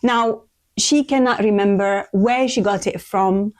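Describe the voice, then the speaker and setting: A woman's voice: medium pitch, measured pace. Middle-aged woman presenting, English. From Lebanon and Iraq. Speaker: interior designer, presenter. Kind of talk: informal home tour presentation.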